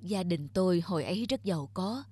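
A man's voice: sits high, animated, brisk. Regretful older cartoon man. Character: regretful, hesitant older man longing for lost love. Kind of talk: cartoon voice acting.

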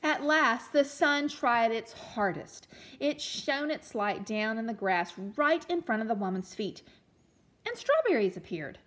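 A woman reading a storybook aloud to young children as a teacher, expressively, pausing to explain and ask questions. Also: a little high, sing-song, fairly bright tone. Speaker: elementary teacher reading a picture book aloud.

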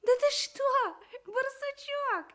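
A woman's voice: very high voice, takes it slowly, a bit bright, voice pushed up, exaggerated voice. A woman voicing a cheerful, sensible little female squirrel in a children's radio tale, light and chirpy.

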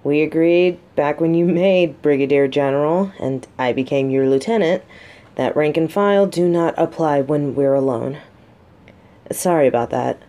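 A woman's voice: deep voice, normal speed, dark tone, natural voice. A woman playing a guarded, sarcastic but secretly vulnerable lieutenant and bodyguard in an intimate audio roleplay. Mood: apologetic. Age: adult. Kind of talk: scripted roleplay audio drama, addressed to listener.

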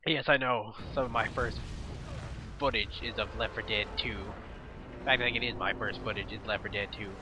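A man's voice: on the low side, steady tone, moderate pace, background noise. Congested nasal man commentating a game. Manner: crude, swearing, rambling. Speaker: gamer commentator. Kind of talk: casual let's play commentary.

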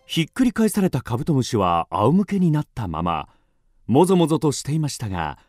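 A man's voice: mid-range voice, wildly swooping pitch, a little fast, darkish tone, faint music behind. A man narrating a children's radio drama as a scripted storyteller.

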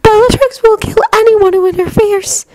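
A woman voicing a calm, caring teenage girl held prisoner in a story, soft-spoken and gently firm. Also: very high-pitched, moderate pace, slight background noise, voice pushed up. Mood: urgent, hushed.

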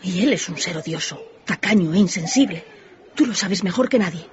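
A woman playing an indignant, sharp-tongued wife and mother in a radio drama. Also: lowish voice, lively intonation, brisk, highs cut off, a little hiss or hum, lightly acted. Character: indignant, outspoken wife and mother of poor family. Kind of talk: Spanish radio drama acting.

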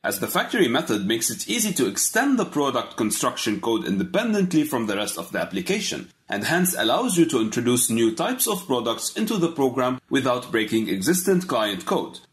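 A man narrating a scripted programming tutorial, explaining code step by step in a measured way. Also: medium pitch, sing-song.

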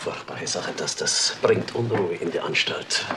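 An actor playing a subdued, hesitant prison official in a German crime radio drama. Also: male voice, low voice, measured pace, noisy background.

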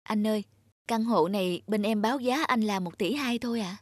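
A woman's voice: mid-range voice, brisk, no echo, lightly acted. A woman voicing an honest, polite young saleswoman in a cartoon. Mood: apologetic, firm. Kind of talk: cartoon dubbing.

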